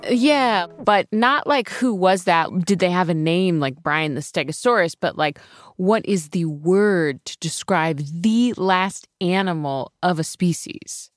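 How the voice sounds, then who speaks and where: Female radio correspondent, low register, dry close studio sound, informal storyteller. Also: a little slow, dry recording. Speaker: radio science correspondent and reporter.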